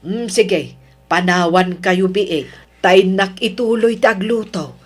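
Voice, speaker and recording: Woman playing an unhurried husky Filipina village mother. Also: mid-range voice. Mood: welcoming. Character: middle-aged village mother, hospitable but watchful of son's girlfriend. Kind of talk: Ilocano audio drama acting.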